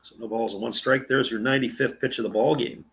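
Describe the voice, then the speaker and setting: A man calling a live baseball game play by play in a relaxed, chatty way, reading viewer comments between pitches, his voice going raspy. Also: fast. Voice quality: gravelly. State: voice getting raspy.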